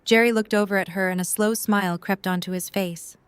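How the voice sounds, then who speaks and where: A woman's voice: medium pitch, steady tone, a little fast, smooth, dry recording. A woman narrating a scripted story as the narrator of an audio drama.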